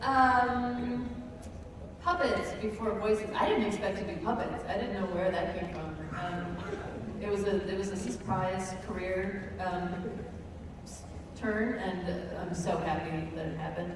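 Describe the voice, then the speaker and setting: A woman's voice: mid-range voice, fairly even intonation, a little slow, a crowd in the background. A middle-aged woman, a voice actor and puppeteer, telling a casual anecdote on a panel. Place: large convention hall with audience. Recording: echoey large hall, distant, noisy audience.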